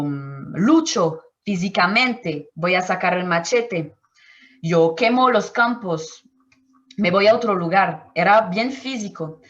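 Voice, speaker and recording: A woman's voice: mid-range voice, fairly bright tone. Martinican woman, French native, interview guest, reflective, searching for words, dull lo-fi sound. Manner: thoughtful, searching for words, frequent restarts. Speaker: anthropologist guest.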